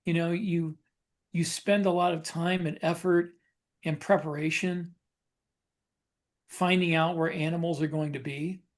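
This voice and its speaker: A man with a slightly high voice talks casually as a podcast co-host. He rambles through personal anecdotes, often halting and correcting himself as he goes. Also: steady tone.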